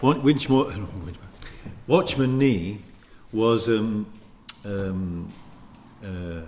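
A man preaching in a teaching sermon, speaking in deliberate, emphatic short phrases with pauses between them. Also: fairly low pitch, darkish tone, slight background noise. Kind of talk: sermon teaching with scripture and anecdotes.

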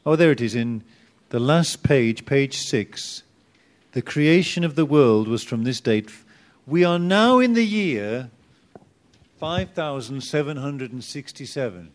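A man's voice: mid-range voice, expressive, unhurried. A man teaching an informal Bible class, chatty and self-deprecating, with sudden bursts of emphasis.

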